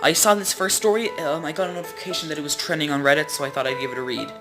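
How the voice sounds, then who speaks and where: A channel host reading online stories aloud in a casual, conversational way, stopping for incredulous comments. The pitch sits in a middle range. Music plays clearly behind the voice. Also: fairly even intonation, rapid-fire, low-quality recording. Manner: casual, incredulous asides, occasional stumbles. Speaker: story reader, channel host.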